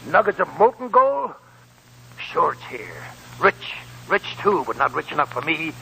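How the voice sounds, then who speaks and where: An actor playing a wily, scornful old American gold prospector in a 1940s radio drama, with a raspy, creaky voice and folksy rambling talk. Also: male voice, on the high side, sing-song, unhurried, sharp tone, heavily acted, rough recording. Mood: sarcastic. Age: older.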